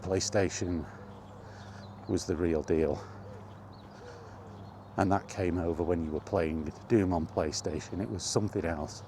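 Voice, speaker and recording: Middle aged guy, really low relaxed voice, rambly casual gamer chat, bit of background noise. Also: a little slow, pauses often. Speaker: gaming vlogger. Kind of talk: casual rambling chat about retro gaming.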